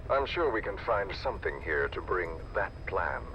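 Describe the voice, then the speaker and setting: An actor playing a shadowy, scheming male operative in a video game audio drama, deliberate and probing. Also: sits low, big pitch swings, bright, forceful tone, heavily acted. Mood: brooding, persuasive. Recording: noisy cassette tape recording.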